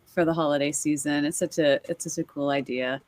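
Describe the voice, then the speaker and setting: A woman co-hosting a casual podcast, friendly and chatty, with a somewhat low voice. She laughs easily as she walks through what comes next. The mic is close and dry. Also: steady tone, a little fast, dry recording. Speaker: podcast co-host. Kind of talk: casual chat reacting to videos.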